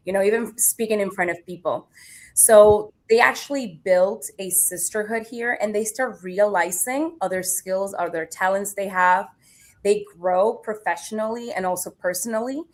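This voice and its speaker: A woman from Venezuela speaking as a guest on a panel show, explaining her work at length in an earnest way. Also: medium pitch. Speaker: panel guest, pageant producer. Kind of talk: casual panel conversation.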